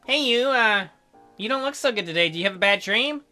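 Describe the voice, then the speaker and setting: A man voicing a shy, gentle, awkward doctor in a visual novel, with a hesitant and mild manner. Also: high-pitched, animated, brisk, faint music behind, exaggerated voice. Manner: hesitant, mild, softly apologetic.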